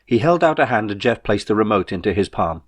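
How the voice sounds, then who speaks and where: A man reading his own novel as a scripted audiobook, in a measured storytelling manner. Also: on the low side, animated.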